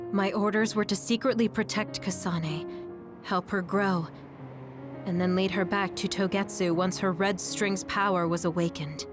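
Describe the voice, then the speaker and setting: A woman's voice: mid-range voice, natural voice. A woman playing a tormented military commander and confessed spy in an English video game dub. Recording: music playing behind the voice.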